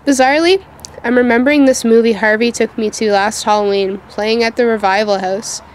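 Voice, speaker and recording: A woman's voice: fairly high pitch, fairly even intonation, fairly quick, a little hiss or hum, natural voice. A woman playing a frightened teenage witch who tells her own story in a dramatic, youthful way for an audio drama. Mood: dawning dread. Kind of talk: first-person narration in an audio drama.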